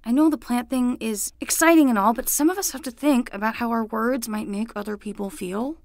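A woman's voice: a little high, brisk, no room sound, clean studio sound, natural voice. A woman playing a sensible, slightly awkward young summer nanny in a fiction podcast, talking in a conversational, hesitant way. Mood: apologetic, skeptical.

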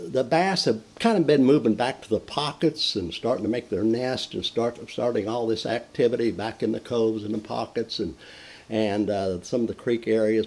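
An older man hosting a folksy fishing report from a den, talking with lively enthusiasm and frequent fillers and restarts. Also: mid-range voice. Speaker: fishing show host. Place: indoor den with mounted fish on the wall.

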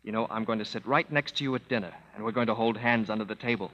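An actor playing a cocky, charming young composer in a 1930s radio drama, sardonic and witty. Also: male voice, fairly low pitch, fast, fairly bright tone. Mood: wry. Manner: sardonic, wisecracking. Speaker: Felix Dietz. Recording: dull radio broadcast with long reverb.

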